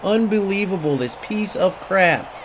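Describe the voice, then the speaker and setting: A man's voice: sits high, animated, a little slow. Profane ranting gamer man. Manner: profane, ranting trash-talk. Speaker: game streamer. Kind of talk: live gameplay commentary. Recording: clear background noise.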